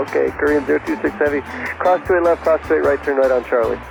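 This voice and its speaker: A man's voice: a little high, fast talker, gravelly, poor audio. A man working as an air traffic controller, giving instructions and bantering over aviation radio. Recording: aviation radio transmission under music.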